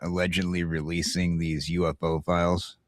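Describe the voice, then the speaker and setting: A man with a very low voice chats loosely on a morning show. He rambles and stammers, doubling back on phrases to press his point. The sound is close and dry. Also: level tone, moderate pace, no room sound. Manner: rambling, stammering, repeats himself emphatically. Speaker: talk show host. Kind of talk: casual morning show chat.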